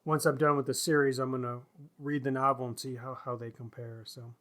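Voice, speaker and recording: A man's voice: medium pitch, level tone, a little fast, a bit bright. A man co-hosting a podcast, chatting casually and thinking aloud with somewhat hesitant phrasing. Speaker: podcast co-host. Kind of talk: casual chat.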